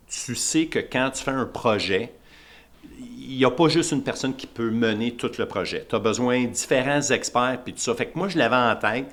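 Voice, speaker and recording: A man's voice: mid-range voice, fairly bright tone. A man with a Québécois French accent hosting a podcast, asking rambling questions with restarts. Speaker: podcast host. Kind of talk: casual podcast interview.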